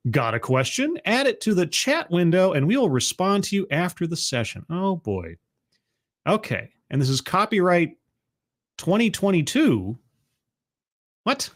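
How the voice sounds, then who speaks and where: A man's voice: high-pitched, sing-song, unhurried. A man casually commenting on a played video, sardonic and rambling, with many restarts.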